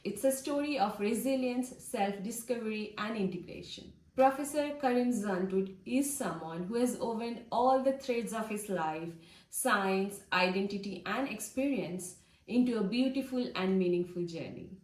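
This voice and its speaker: A woman hosting a podcast, reading an introduction and asking questions in an earnest, measured way. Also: medium pitch, animated, darkish tone, roomy sound. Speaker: podcast host. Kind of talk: scripted intro then interview questions.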